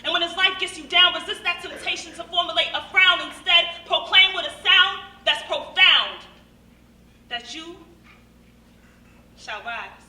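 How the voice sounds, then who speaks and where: An echoey recording of a young American woman on stage, heard from far off. Her voice is high and very bright. She recites spoken-word verse earnestly, in dramatic rhythm with deliberate pauses. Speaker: monologue performer. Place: stage at a live show. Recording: echoey hall from far off, faint noise.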